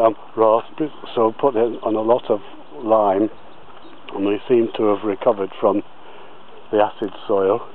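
A man giving a slow, halting outdoor tour of his allotment plot, with many pauses and fillers. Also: medium pitch, narrow, tinny audio, a little hiss or hum. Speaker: allotment gardener.

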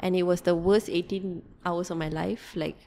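A young woman, chatting as a podcast guest, fast and animated, mixing Tamil and with lots of fillers. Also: lowish voice, creaky, a little hiss or hum. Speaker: tour guide guest. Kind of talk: casual podcast conversation. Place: small indoor room at a table. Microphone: desk podcast mic.

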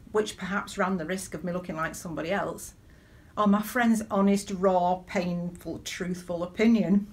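A middle-aged woman gives a conversational sermon as a church deacon, telling personal stories in a measured way and putting questions to her listeners. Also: mid-range voice. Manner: measured, storytelling delivery with rhetorical questions. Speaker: church deacon leading online worship. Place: small living room at home, indoors.

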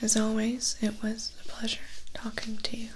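A young woman playing a weary, kindly human assistant to a vampire in a soft, hesitant, confiding ASMR roleplay. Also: on the high side, completely flat delivery, background noise, lightly acted. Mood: gentle, weary. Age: young adult. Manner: slow, hesitant, gentle and confiding. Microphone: close ASMR microphone.

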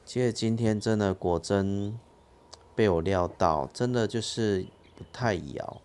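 A man's voice: on the low side, unhurried, darkish tone, clear, resonant tone. A man hosting a fishing channel, explaining his rig step by step in a plain casual way outdoors at a harbour. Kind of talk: casual how-to narration.

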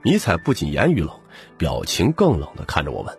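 A man's voice: sits low, huge pitch swings, brisk, creaky, darkish tone, breathy edge, soft background music. A man narrating a novel in the first person as a scripted audiobook.

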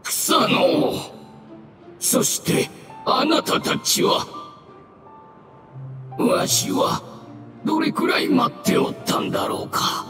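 A man voicing an ancient, weary mushroom creature in a video game, with halting, old-fashioned elderly speech. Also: very high voice, heavily acted. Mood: weary, dazed. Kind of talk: video game character acting. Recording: distant, echoing voice over music.

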